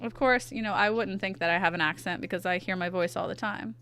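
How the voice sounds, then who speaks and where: A woman with a stuffy nose, chatting casually with her viewers in quick asides while she draws on stream. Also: medium pitch, a bit bright, slight background noise. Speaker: art streamer. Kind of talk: casual chat while drawing.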